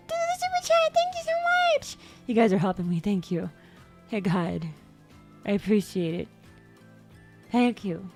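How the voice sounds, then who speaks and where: A young woman chatting casually on a livestream. She rambles, repeats and stumbles over words, and her voice sometimes jumps suddenly into high squeaks. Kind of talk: casual stream chat. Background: faint background music.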